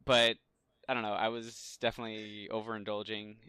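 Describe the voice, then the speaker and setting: A man co-hosting a podcast, talking casually and excitably like a gushing fan. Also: lowish voice, fairly quick, clean tone, no room sound. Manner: gushing, excitable geek talk. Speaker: podcast co-host.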